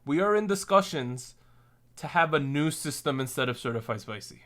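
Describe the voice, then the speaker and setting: A man hosting a casual podcast chat, relaxed and joking as he steers the discussion. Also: a little high, moderate pace. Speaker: podcast host.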